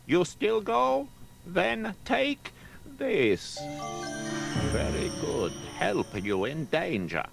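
Creaky, slow, mysterious stranger. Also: male voice, high voice, sing-song, over loud music, exaggerated voice. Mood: solemn warning. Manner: deliberate, broken English. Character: mysterious, solemn South American stranger giving warnings. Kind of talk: radio drama acting.

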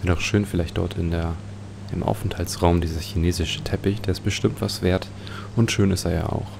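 A man's voice: low voice, fairly even intonation, a little fast, creaky, background noise. A man giving musing, speculative commentary as he plays an adventure game. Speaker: let's play host.

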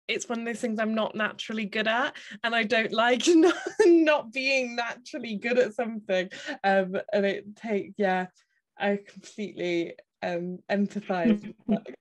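A woman's voice: fairly high pitch, measured pace. Hesitant woman interviewer. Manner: hesitant, many fillers, warm laughter. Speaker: festival interviewer. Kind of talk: interview questions.

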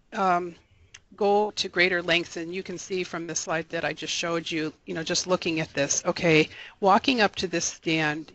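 A man giving a recorded slideshow lecture as an extension educator, relaxed and rambling, with many fillers and restarts. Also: a little high, no room sound. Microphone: voice-over for slides.

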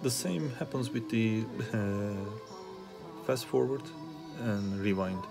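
A man with a lowish, fry-edged voice talks through a repair problem without a script. His delivery is very unhurried and hesitant, broken by frequent pauses, with music faintly present behind him. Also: lively intonation. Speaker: hobbyist repairer asking viewers for help. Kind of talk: unscripted troubleshooting demonstration. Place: small indoor workspace at a repair bench.

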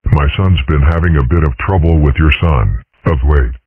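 A man voicing a polite, reasonable dragon father with formal, measured, understated delivery in a cartoon comic dub. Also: extremely low pitch, slightly rough, narrow, tinny audio. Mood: dry, courteous. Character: polite, reasonable seven-foot dragon father, dry and dignified.

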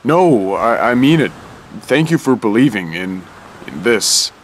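A man doing an earnest young lawyer, lively voice. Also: mid-range voice, measured pace, slight background noise. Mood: hopeful. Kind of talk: fan radio drama acting.

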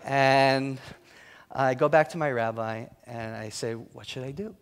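A man's voice: mid-range voice, steady tone, measured pace. A man giving a talk in a church hall, telling humorous, self-mocking stories about his work. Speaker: guest speaker. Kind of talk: storytelling talk.

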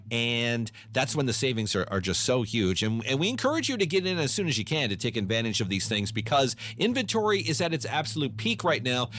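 A man's voice: medium pitch, a bit bright, slight background noise. A man hosting a live radio remote, ad-libbing promotional talk. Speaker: radio host on remote broadcast.

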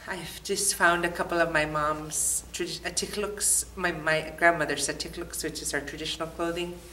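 A woman's voice: low-pitched, steady tone, normal speed, bright, forceful tone. A woman giving short, playful answers in a studio interview. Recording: distant mic with faint noise.

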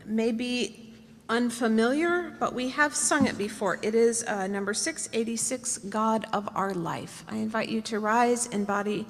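A middle-aged woman pastor leading worship at a podium microphone, speaking in a measured, solemn way. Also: fairly high pitch. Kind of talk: leading worship, announcements and benediction. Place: large indoor church meeting room. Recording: reverberant hall sound.

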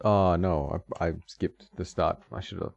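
A man's voice: low-pitched, fairly even intonation, measured pace, vocal fry, darkish tone, no echo. A man giving casual, reactive commentary while he plays a retro fighting game, chuckling often and talking back to the game. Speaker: retro game video host. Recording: dull dry audio with game music behind.

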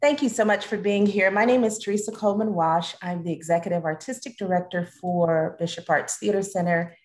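A woman's voice: on the low side, steady tone, a little fast, darkish tone. A middle-aged woman hosting a talkback interview on a video call from a room at home. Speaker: host, theatre artistic director.

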